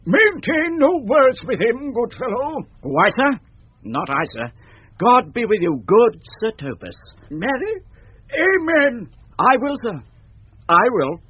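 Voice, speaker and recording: Sly Shakespearean clown, high-pitched and very swoopy, teasing wordplay for radio drama. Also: male voice, very animated, takes it slowly, husky, dry recording, exaggerated voice. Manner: teasing, wordy, lilting wordplay. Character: sly, witty clown, a great lady's household fool. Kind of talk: 1970s BBC radio Shakespeare acting. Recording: muffled 1970s radio recording.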